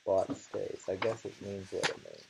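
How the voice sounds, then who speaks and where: A man reading poetry aloud and commenting casually, in a halting, musing way that circles back over phrases. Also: low voice, wildly swooping pitch, gravelly, heavy background noise.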